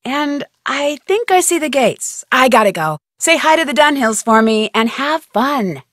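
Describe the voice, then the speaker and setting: An actress playing a polite, plucky young American woman detective in a video game, speaking earnestly and clearly. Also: high-pitched, very animated, measured pace, a bit bright, no room sound, studio quality, exaggerated voice. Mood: breezy. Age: young adult. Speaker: player character, detective.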